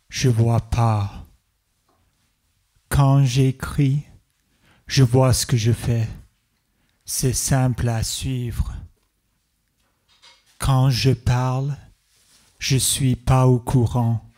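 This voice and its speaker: A man in his thirties from the Toronto area of Canada performs spoken-word poetry in measured short phrases with deliberate pauses. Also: mid-range voice, dark tone. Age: 30s. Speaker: poet and performer.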